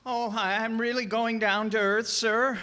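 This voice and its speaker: A man acts out an ancient but timid apprentice angel for a radio drama. He is bright, slow and deferential, fussing eagerly. The voice rings in a hall with long reverb. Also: sits high, level tone, smooth. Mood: eager, hopeful. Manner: fussy, deferential, repeats what he is told. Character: eager, timid two-hundred-year-old apprentice angel, male.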